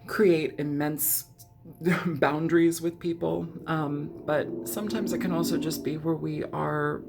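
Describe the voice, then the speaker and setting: A woman reading tarot in a chatty, meandering way, hoarse and scratchy from a cold, often clearing her throat. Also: low-pitched, fairly even intonation, unhurried, music in the background. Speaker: tarot reader. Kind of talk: casual tarot reading monologue.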